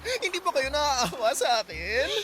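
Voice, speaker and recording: Isang babaeng gumaganap na madrama at maangal na baklang binatilyong anak sa isang drama sa radyo, maingay ang rekording. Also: female voice, sits high, very animated, measured pace, over music. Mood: sassy, protesting. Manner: whiny, dramatic, effeminate. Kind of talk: radio drama acting.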